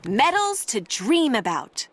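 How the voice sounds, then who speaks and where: Woman, high voice, slow-paced storybook read-aloud, theatrical emphasis, noisy recording. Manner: animated, theatrical, with dramatic emphasis. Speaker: storybook reader. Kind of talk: children's picture book read-aloud.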